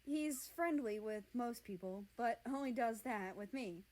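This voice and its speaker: A woman voicing a friendly, considerate male healer in a fantasy storybook, explaining things gently. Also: fairly high pitch, animated, measured pace. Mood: reassuring.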